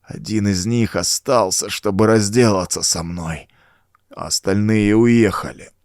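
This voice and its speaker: A man voicing a dying, tortured cult renegade in an audiobook, weak and halting. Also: fairly low pitch, darkish tone, no echo, clean studio sound. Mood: weak, grim. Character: dying, tortured male cultist renegade confessing. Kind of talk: audiobook character acting.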